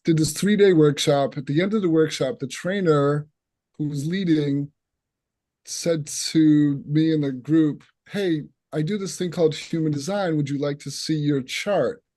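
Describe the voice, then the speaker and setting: A man's voice: a little high, measured pace, mellow. A man with a Boston accent, introducing himself as an instructor on a video call and telling his story in an earnest way. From northeastern United States. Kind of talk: online open house self-introduction.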